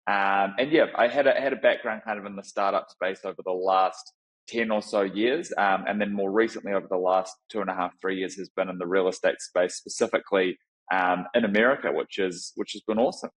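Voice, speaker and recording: A middle-aged man with a New Zealand accent, a company founder answering interview questions in a thoughtful, relaxed way, with frequent fillers and restarts. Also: sits low, brisk, poor audio. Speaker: founder and CEO, guest. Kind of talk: conversational interview.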